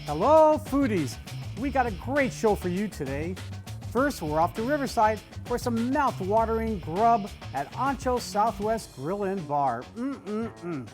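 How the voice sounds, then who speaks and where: A man's voice: sits high, big pitch swings, measured pace, soft background music. A man hosting a food show as a food critic, upbeat and playfully bantering with his co-host. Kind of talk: show host intro and casual chat.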